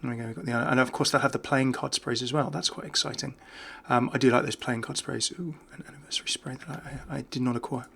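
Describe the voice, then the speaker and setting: A man's voice: medium pitch, fairly even intonation, fast, vocal fry, few pauses, fairly bright tone, slight background noise. A man giving casual, off-the-cuff video game commentary in a chatty, enthusiastic way. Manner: chatty, enthusiastic about small details.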